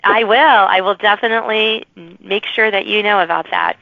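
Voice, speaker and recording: A woman's voice: mid-range voice, fairly even intonation, brisk, vocal fry, dry recording. A woman hosting a casual interview podcast, chatting informally with a slightly lo-fi radio-like sound. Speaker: podcast host and writer.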